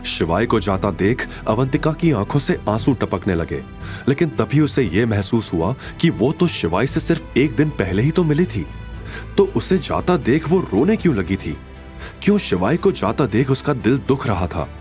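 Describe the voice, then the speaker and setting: A man's voice: mid-range voice, big pitch swings, normal speed, a little mellow, faint music behind. A man narrating a scripted dramatic audio story.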